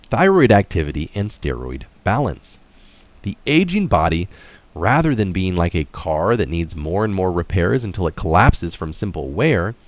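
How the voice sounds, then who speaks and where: A man's voice: sits low, dry recording. A man reading an article aloud in narration style, dropping in casual, opinionated asides of his own. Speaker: reader of an article adding his own comments.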